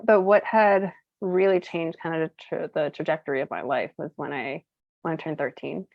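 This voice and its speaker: Chatty woman in her thirties, low voice. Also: moderate pace, gravelly, no room sound, dull audio. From United States (Colorado). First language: Cantonese. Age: 30s. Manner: chatty, uses many fillers and laughs easily. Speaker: interview guest, entrepreneur. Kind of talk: casual chat, storytelling.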